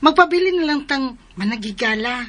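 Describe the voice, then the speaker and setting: A woman's voice: high voice, lively intonation, fairly quick, a bit bright. An actress in a radio drama playing a playful, flippant young girlfriend. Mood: teasing, casual.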